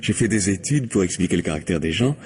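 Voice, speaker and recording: A glib gentleman who insists and wheedles in a low voice. He is talkative and stammers his way along in an old French radio play. The recording is old, with clear background noise. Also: lively intonation, fast. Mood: smooth flattering. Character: glib, insistent gentleman pestering a young woman.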